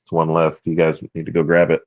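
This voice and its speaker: A man joining a livestream as a guest over a remote call, chiming in with animated, laughing remarks. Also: rumbling low, fast, narrow, tinny audio. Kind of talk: livestream discussion.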